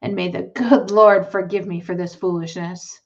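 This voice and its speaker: A woman voicing a gentle but firm farmer father in a storybook, in a measured, patient way. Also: on the low side, fairly even intonation, lo-fi sound, close to an everyday voice. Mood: relenting.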